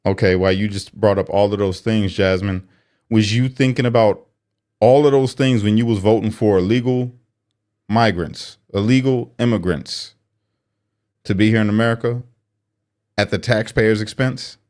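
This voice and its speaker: A man's voice: fairly low pitch, moderate pace, a little mellow. A man hosting political commentary, speaking bluntly and sarcastically as if straight to the person he criticizes. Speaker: commentary host.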